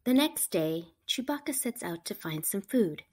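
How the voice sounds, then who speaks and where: A woman reading a picture book aloud to children, with animated, emphatic storytelling delivery. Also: mid-range voice, moderate pace, slightly rough. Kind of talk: expressive read-aloud narration for children.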